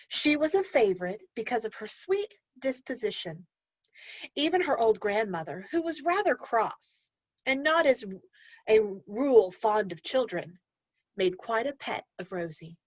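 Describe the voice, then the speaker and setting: Expressive high-voiced woman teacher reading aloud. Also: no echo, highs cut off. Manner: expressive, instructive, addressing children directly. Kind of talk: read-aloud lesson to young learners, storybook narration.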